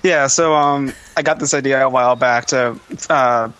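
A man guesting on a podcast, explaining things casually in a halting way. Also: mid-range voice, steady tone, a bit bright, clear, resonant tone, no echo.

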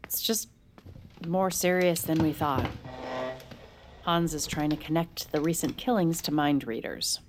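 A woman's voice: sits low, fairly even intonation, measured pace, pauses often, slight background noise. An actress playing a worried, protective sister and mother in naturalistic, earnest audio drama dialogue. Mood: worried, urging. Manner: earnest, cautioning.

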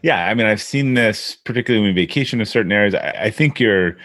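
Low-voiced male podcast interviewer. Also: fast talker, fairly bright tone. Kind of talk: conversational interviewing.

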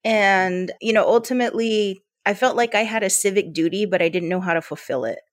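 A woman's voice: medium pitch, a little fast, no room sound, studio quality. An American woman chatting informally as an interview guest, telling personal anecdotes in a conversational way. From Yuma, Arizona, US. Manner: chatty, informal, often quotes dialogue. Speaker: interview guest, port authority leader.

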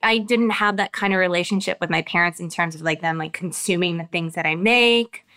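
Thoughtful actress, smooth clear voice. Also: female voice, lowish voice, pushed and bright. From America. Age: adult with children. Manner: thoughtful, halting, many restarts and fillers. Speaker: actress being interviewed. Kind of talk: reflective interview answers.

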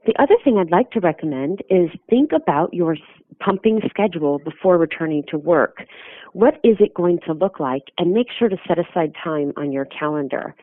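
A woman gives steady, instructional advice as a lactation consultant. Her voice sits fairly low. It comes through dry and narrow, like a phone line. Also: moderate pace, dry recording. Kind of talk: scripted advice segment.

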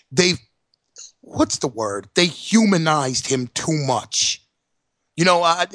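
A man's voice: fairly high pitch, a bit bright, dry recording. A man co-hosting a casual wrestling podcast, blunt and emphatic, swearing freely. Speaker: podcast co-host.

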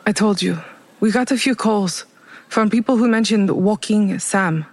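A woman's voice: medium pitch, a little slow, a little mellow, lightly acted. An actress playing a guilt-ridden, vengeful woman who speaks tersely and without repentance in an audio drama. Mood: grim, resigned.

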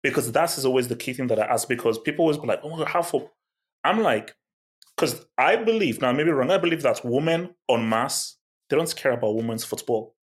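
A man's voice: mid-range voice, lively intonation, a little fast. Emphatic man pressing his point. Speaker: podcast co-host. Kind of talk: casual sports chat.

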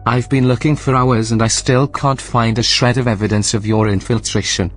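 A man playing a controlling station AI in a sci-fi audio drama, with a medium-pitched male voice. He is coldly polite and sardonic, choosing each phrase deliberately to threaten. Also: fairly even intonation, soft background music, lightly acted. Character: coldly menacing, controlling male artificial intelligence. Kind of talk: science-fiction audio drama acting.

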